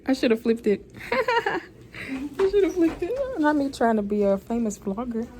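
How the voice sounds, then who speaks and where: A woman's voice: on the high side, sing-song, normal speed, a little mellow, a little hiss or hum. Chatty young woman. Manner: chatty, candid, laughs often. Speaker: vlogger. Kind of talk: casual hospital vlog. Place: hospital room. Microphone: phone camera.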